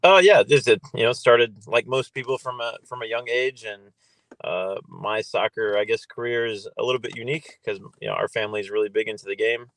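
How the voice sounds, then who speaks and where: Male coach guest, gravelly fry, informal conversational answers, close dry recording. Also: mid-range voice, brisk, fairly bright tone, no room sound, poor audio. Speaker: soccer coach, podcast guest. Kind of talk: casual interview answers.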